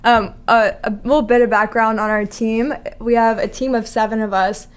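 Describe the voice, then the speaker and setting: Young woman from virginia kicking off a chat, slightly high voice, easygoing, lots of likes. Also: steady tone, normal speed, clear, resonant tone. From Virginia, USA. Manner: friendly, loose, full of likes and ums. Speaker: ministry intern leading the chat. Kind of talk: casual chat.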